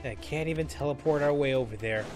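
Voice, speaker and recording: A man's voice: medium pitch, fast, over music. A man giving casual gameplay commentary as a streamer, in a joking, rambling manner. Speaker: gaming streamer.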